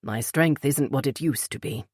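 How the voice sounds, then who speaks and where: A woman voicing a polite, unflappable older British gentleman with a clipped British accent. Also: very deep voice, normal speed, slightly rough, no echo, clean studio sound. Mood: calm, polite.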